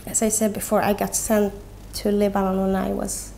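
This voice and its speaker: A young woman from Sweden, talking candidly as an interview guest, pausing often as she searches for words. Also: mid-range voice, monotone, creaky, warm tone, a little hiss or hum. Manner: halting, with frequent pauses and "like". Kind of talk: candid personal conversation.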